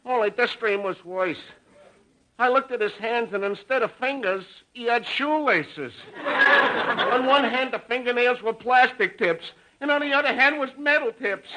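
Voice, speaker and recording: A man's voice: very high-pitched, measured pace, sharp tone, a little hiss or hum, full character voice, low-quality recording. An actor playing a nervous, long-suffering salesman husband in 1950s radio comedy, stammering and fretful. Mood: anguished. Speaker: comic actor.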